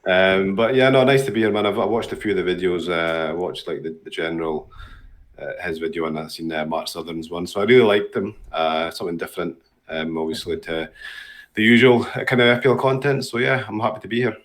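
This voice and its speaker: Deep-voiced Scottish man chatting. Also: a little fast. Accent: Scottish, Dundee. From Dundee, Scotland. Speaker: interview guest. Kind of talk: casual chat.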